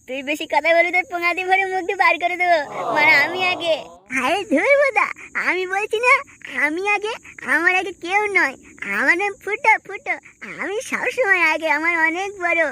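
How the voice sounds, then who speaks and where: A woman's voice: very high voice, moderate pace, sharp tone. A woman voicing a brash, boastful young village man in a comic cartoon, repetitive and quarrelsome. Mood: boastful, pushy.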